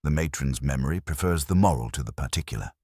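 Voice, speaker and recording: Man reading as droll, dutiful deep-voiced policeman. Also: expressive, fast talker, darkish tone, breathy edge, dry recording, clean studio sound, heavily acted. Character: agreeable male police subordinate, dutiful, dryly droll.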